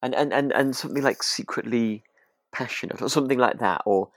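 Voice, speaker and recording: A British man co-hosting a podcast in relaxed chat, hesitant and often repeating words as he discusses a radio drama. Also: mid-range voice, a little fast, no echo. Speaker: podcast co-host.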